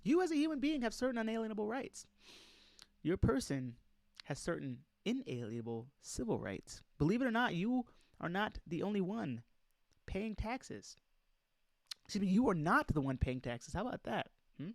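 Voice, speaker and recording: High-pitched, animated man reading aloud. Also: gravelly, no echo, studio quality. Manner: emphatic, rhetorical questions to listeners. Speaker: presenter reading a document aloud and commenting. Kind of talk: read-aloud lecture with informal asides.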